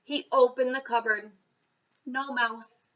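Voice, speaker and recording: A woman reading a children's story aloud as a school reader, expressive, with dramatic emphasis and pauses. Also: on the high side, recorded from a distance, highs cut off.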